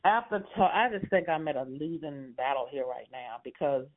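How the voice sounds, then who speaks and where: A man's voice: medium pitch, animated, moderate pace, a little hiss or hum. Hesitant man in his sixties on a phone line. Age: 60s. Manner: hesitant, rambling with many fillers and short laughs. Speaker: homeowner on a phone call.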